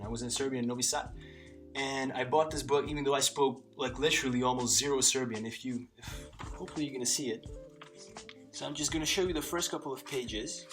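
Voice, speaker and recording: A man's voice: medium pitch, fairly bright tone. A man whose first language is Slovak, talking casually to camera by his bookshelf, rambling with many fillers and restarts. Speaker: polyglot vlogger. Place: small room by a bookshelf lit by a lamp.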